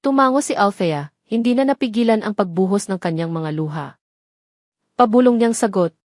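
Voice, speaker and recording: A woman's voice: mid-range voice, expressive, moderate pace, a little mellow, dry recording, clean studio sound. A woman with a Filipino accent reads a scripted romance story as a narrator, in a sentimental storytelling way.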